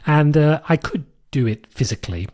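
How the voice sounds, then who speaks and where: A man with a British accent hosting his own podcast, explaining a technical topic in a thoughtful, unscripted way with many fillers and restarts, his voice a bit hoarse from a cold. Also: fairly high pitch, expressive, normal speed, darkish tone. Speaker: podcast host. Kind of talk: solo explanatory podcast monologue.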